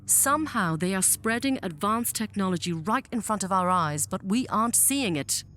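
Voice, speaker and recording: A woman's voice: mid-range voice, expressive, moderate pace, fairly bright tone, a little hiss or hum, lightly acted. A woman playing a cold, impatient military general in an audio drama, clipped, disdainful and commanding. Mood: contemptuous.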